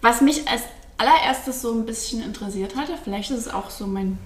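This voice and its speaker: A woman's voice: a little high, brisk. A young woman hosting a podcast, chatty and laughing easily as she talks with guests. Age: young adult. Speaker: podcast host. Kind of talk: casual podcast chat.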